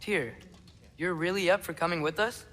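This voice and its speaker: Eager, high-voiced teenage boy. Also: normal speed. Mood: curious. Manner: casual, excitable, hesitant. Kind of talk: video game character acting.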